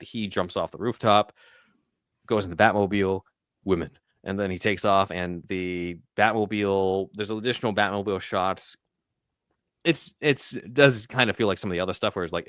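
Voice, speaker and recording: A man with a low-pitched voice hosts a film discussion. He talks casually through scenes one after another, recorded dry with little room sound. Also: fairly bright tone, no room sound. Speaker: podcast host.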